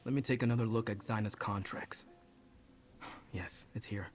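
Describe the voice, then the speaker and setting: A man's voice: fairly low pitch, fairly even intonation, normal speed, lightly acted. An actor playing an earnest, apologetic young man burdened with guilt in a video game. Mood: grim, thoughtful.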